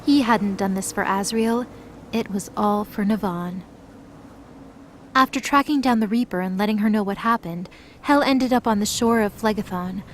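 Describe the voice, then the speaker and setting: A woman's voice: medium pitch, moderate pace. A woman reading scripted narration for a mythological audio drama. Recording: clearly noisy background.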